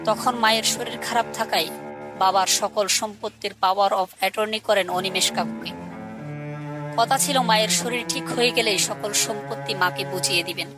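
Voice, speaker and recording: An actor performs the ghost of a murdered boy in a horror cartoon. The voice is angry and bitter, hurling accusations with a thirst for revenge. Music plays clearly behind it. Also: mid-range voice, normal speed, lightly acted. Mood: bitter, recounting. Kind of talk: dramatic voice acting in an animated horror story.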